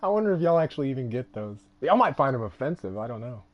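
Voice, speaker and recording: Chatty Texan man with a lively voice. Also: medium pitch, fast talker, highs cut off. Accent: Texan Southern. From Texas. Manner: rambling, chatty, laughs often. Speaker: game streamer. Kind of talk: casual live-stream chat while gaming.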